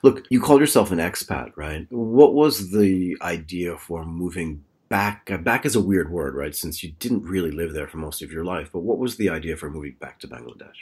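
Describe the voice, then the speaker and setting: Deep-voiced husky man hosting a podcast. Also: expressive, darkish tone. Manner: chatty, jumps in with quick follow-up questions. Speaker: podcast host. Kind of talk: conversational interview.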